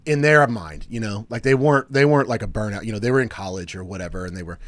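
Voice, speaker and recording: A man in his thirties hosting a casual podcast chat, often restarting his sentences and trailing off quietly. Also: lowish voice, fast talker, dry recording. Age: 30s. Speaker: podcast host.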